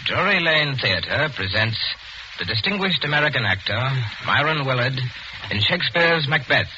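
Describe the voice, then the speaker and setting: A grand, formal theatre announcer, declaims with lively intonation. It is a muffled 1940s radio recording with clear noise. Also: male voice, mid-range voice, moderate pace. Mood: proud.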